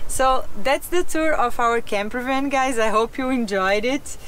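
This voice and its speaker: A woman's voice: on the high side, unhurried, fairly bright tone, slight background noise. A woman in her thirties chatting casually in a travel vlog, speaking as an in an easygoing, chatty way. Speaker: vlog co-host. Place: in and beside a camper van by the sea.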